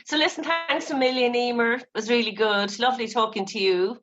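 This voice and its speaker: A woman's voice: a little high, brisk, fairly bright tone, highs cut off, soft background music. An Irish-accented woman chatting casually as an interview guest, in a chatty, rambling manner. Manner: chatty, peppered with "you know". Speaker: guest, playwright.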